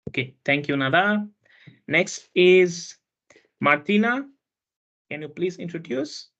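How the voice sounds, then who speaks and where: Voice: medium pitch, animated, slow pace, fairly bright tone, dull audio. A student hosting an online panel, talking hesitantly with many fillers and restarts. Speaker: panel host and student speaker.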